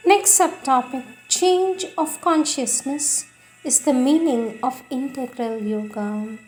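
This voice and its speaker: Slow, higher-pitched woman reading devotionally. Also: music in the background, low-quality recording. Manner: measured, solemn, deliberate phrasing. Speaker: reader of spiritual texts.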